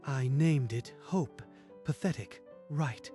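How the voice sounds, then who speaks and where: A man's voice: mid-range voice, takes it slowly, mellow, soft background music, close to an everyday voice. A man voicing a bitter, proud young prince in a manga dub, speaking with guarded defiance. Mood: bitter, wounded. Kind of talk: dramatic manga dub acting.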